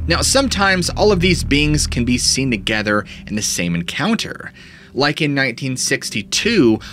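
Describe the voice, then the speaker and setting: A man's voice: medium pitch, a bit bright. A man in his thirties narrating eerie stories as a host, in a dramatic storytelling manner, from a small home studio on a studio mic. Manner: dramatic storytelling with sweeping rises and dips. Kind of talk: scripted narration of paranormal stories. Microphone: studio mic in front of him.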